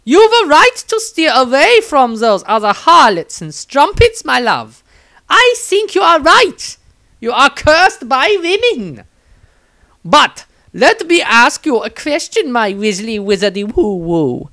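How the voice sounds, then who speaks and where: Guy doing a super high gushy zealot nun lady, bossy and lovey-dovey, storybook voice, swoopy. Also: sing-song, slow pace, sharp tone, exaggerated voice. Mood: cloying. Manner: gushing, cooing, emphatic. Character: overbearing amorous zealous warrior nun, a woman.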